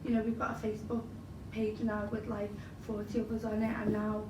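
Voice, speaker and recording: A woman's voice: mid-range voice, completely flat delivery, a little fast. A young woman with a Scouse accent, a poet answering interview questions in a chatty way with many fillers, whose first language was Arabic. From Liverpool, England. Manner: chatty, frequent fillers and you-knows. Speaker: interviewee, spoken word poet. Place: large event hall. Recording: roomy hall recorded from a distance with noise.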